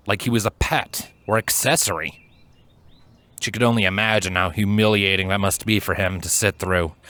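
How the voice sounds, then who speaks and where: A man reading a story aloud as narrator, in an expressive, measured, dramatic storytelling style. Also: fairly low pitch, no echo. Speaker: fan fiction narrator. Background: background music.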